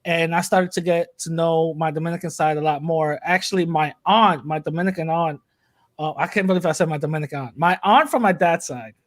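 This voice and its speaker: A man's voice: a little high, a little fast, no echo, clean studio sound. A young man, chatting casually as a podcast guest, joking and rambling with many fillers. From New York City, USA. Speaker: podcast host, interviewee. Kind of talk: casual interview chat.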